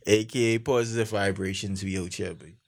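A young man with a Bahamian accent co-hosting a podcast, lively and quick to laugh loudly. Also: lowish voice, a crowd in the background. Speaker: podcast co-host. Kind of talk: casual chat. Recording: noisy recording.

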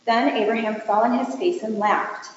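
Woman, lector, formal scripture reading, measured delivery, strong echo, slightly muffled recording with faint noise. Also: fairly high pitch. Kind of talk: scripture reading aloud in a service. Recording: very echoey hall, dull, faint noise.

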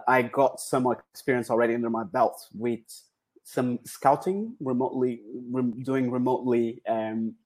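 Portuguese-accented man, relaxed interview guest. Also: medium pitch, low-quality recording. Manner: relaxed, rambling with many fillers and restarts. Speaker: guest, football data analyst. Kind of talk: podcast interview.

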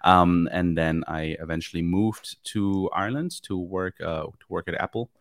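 Very low-voiced hesitant German man. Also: steady tone, moderate pace, no echo, studio quality. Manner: hesitant, many ums and restarts. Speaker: guest photographer. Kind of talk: casual interview chat. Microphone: studio mic on a video call.

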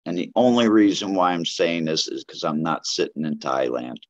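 A man's voice: low-pitched, expressive, moderate pace, no room sound. A man talking bluntly in an interview about growing cannabis abroad, rambling with many fillers. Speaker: cannabis grower guest. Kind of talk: casual interview talk. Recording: dull lo-fi audio.

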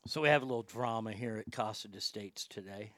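A man hosting a call-in show, talking in an animated, joking and crude way. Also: on the low side, fairly quick, noisy background. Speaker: show host. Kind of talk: casual call-in show talk.

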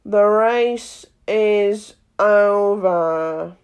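A woman voicing a grave, pompous dodo bird in a storybook reading, speaking slowly and ceremoniously. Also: medium pitch, darkish tone, clean tone. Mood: solemn. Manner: slow, drawn-out, ceremonious delivery.

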